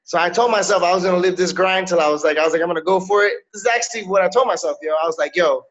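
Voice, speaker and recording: A man in his thirties from Miami talks loosely over a webcam call, dropping "yo" and "you know what I'm saying" between thoughts. His voice sits high for a man. The audio is a little dull and lo-fi. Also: very fast, a bit bright. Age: 30s. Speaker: MMA fighter, guest. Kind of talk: casual interview over video call. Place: indoors, small room. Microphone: video call webcam.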